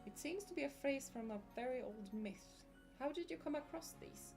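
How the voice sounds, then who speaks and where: A woman's voice: high voice, animated, normal speed, music in the background. A woman doing a gushing old-fashioned professor in a wobbly Scottish put-on. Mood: excited wonder. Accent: put-on Scottish attempt. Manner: theatrical, gushing, formal phrasing.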